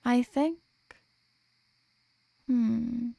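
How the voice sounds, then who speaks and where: A woman performs a possessive female vampire in an intimate ASMR roleplay. Her voice sits fairly high and moves very slowly, close and dry. She is dominant, teasing and condescending, with formal, elegant phrasing. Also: fairly even intonation, vocal fry, mellow, no echo, full character voice.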